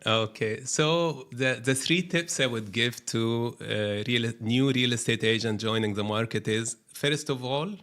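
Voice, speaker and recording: A man from Egypt, speaking as a guest in a business interview. He talks in measured phrases and repeats words while he searches for what to say. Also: medium pitch, a bit bright. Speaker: guest, brokerage managing director.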